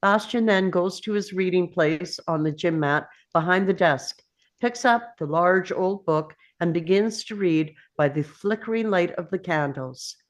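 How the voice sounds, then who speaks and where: A woman serves as narrator, reading scene descriptions from a script. She takes her time, with a slightly low pitch. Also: unhurried. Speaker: narrator reading stage directions.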